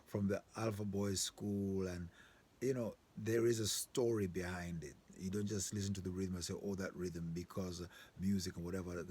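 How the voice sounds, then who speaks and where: A man's voice: low-pitched, steady tone, moderate pace, mellow. A man from southern Africa hosting an informal, opinionated monologue, speaking emphatically with repetition and frequent rhetorical check-in questions. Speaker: host. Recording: clear background ambient noise.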